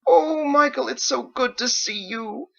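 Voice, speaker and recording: A man's voice: very high-pitched, unhurried, gravelly, fairly bright tone, highs cut off, voice pushed up, full character voice. A man voicing a gushing, blunt woman of seventy, chatty and sing-song as if talking to a child. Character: gushing, blunt seventy-year-old mother, hearty and chatty.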